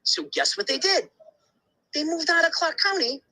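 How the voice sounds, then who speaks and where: A man's voice: very high-pitched, big pitch swings, moderate pace, bright, forceful tone, poor audio. A man talking in a casual podcast conversation, telling things bluntly and emphatically, with many asides and restarts. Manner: blunt, occasional swearing.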